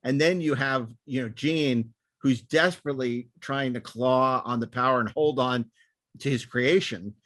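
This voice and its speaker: A man's voice: medium pitch, moderate pace, fairly bright tone, dry recording. A man co-hosting a podcast, telling background history casually, often repeating words as he emphatically builds his point. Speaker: podcast co-host. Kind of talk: casual anecdotal panel discussion, explaining history.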